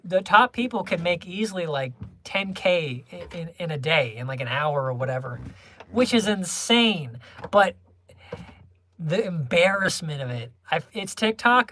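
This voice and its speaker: A young adult livestreamer chatting casually with viewers, rambling through many restarts and small laughs in a low-key, homebody way. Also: fairly bright tone, a little hiss or hum.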